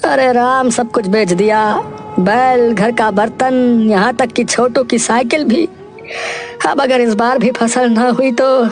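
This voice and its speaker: A very high, plaintive voice of a woman acting as a poor village farmer man in a cartoon story. He is desperate and devout, imploring with exclamations. Music plays softly underneath. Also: fairly even intonation, takes it slowly. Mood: weary, pleading. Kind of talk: dramatised cartoon moral story.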